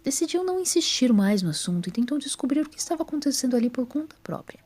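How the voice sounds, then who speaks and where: A woman with a Brazilian accent narrating a scripted story in a breathy voice. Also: high-pitched, very animated, quick pace, a little mellow.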